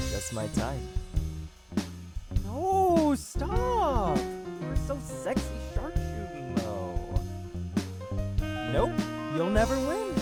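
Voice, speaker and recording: Man acting smug hacker rival, wildly animated. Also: high voice, full character voice. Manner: teasing, theatrical banter. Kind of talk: visual novel game voice acting. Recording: loud music over game voice clips.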